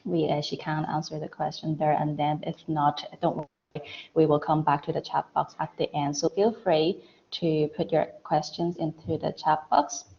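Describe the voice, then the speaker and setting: A woman hosting an online session, giving the audience brisk, reassuring instructions before handing over to the speaker. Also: low voice, level tone, vocal fry, dark tone, highs cut off, a little hiss or hum. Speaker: session host. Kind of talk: introducing a speaker.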